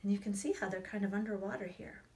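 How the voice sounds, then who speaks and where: A woman in her forties, an author reading and explaining things to children in an expressive, emphatic storytelling manner. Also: mid-range voice, fast. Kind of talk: expressive picture-book read-aloud and explanation to children. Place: small indoor room against a plain wall.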